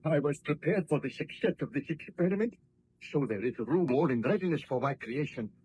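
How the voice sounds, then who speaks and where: An actor playing an obsessed, imperious mad scientist, commanding and grandiose, in old time radio horror style. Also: male voice, a little high, lively intonation, fairly quick, a little mellow, a little hiss or hum, close to an everyday voice. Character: obsessed, imperious male mad scientist exulting over his creation. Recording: husky, muffled vintage radio drama recording.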